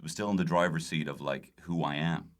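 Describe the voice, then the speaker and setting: A man's voice: rumbling low, fairly even intonation, fairly quick. An Irish man chatting casually as a podcast guest, telling rambling, self-deprecating stories. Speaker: guest comedian. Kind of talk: casual anecdotal chat.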